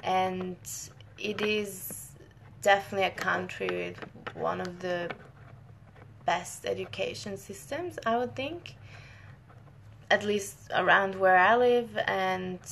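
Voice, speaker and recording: A young woman from northern Italy, a scientist and native Italian speaker, hosts a relaxed live Q&A from home, restarting sentences and laughing softly. Her voice has a gravelly edge, and a faint hiss sits under the computer-mic recording. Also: mid-range voice. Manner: friendly, chatty, many restarts and short laughs. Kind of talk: casual live-stream chat.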